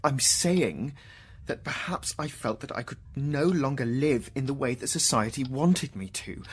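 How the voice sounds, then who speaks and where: Man playing an anguished, husky-voiced logical thinker. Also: mid-range voice. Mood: pained. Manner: emotional, halting, sighing delivery. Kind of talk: radio drama acting.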